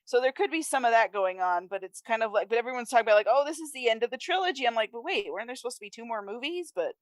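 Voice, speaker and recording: A woman's voice: on the high side, animated, rapid-fire, no echo. A woman discussing films casually as a podcast panel host, chatty and prone to acting out imagined quotes.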